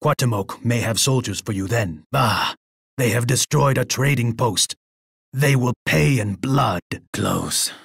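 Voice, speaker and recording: An actor playing a proud, bloodthirsty Aztec emperor in a video game, with a pompous, declamatory delivery. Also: male voice, medium pitch, unhurried, creaky, fairly bright tone, slightly rough, no echo, clean studio sound, heavily acted. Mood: curt, refusing, then menacing, boastful. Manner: pompous, declamatory, speaks of himself in third person.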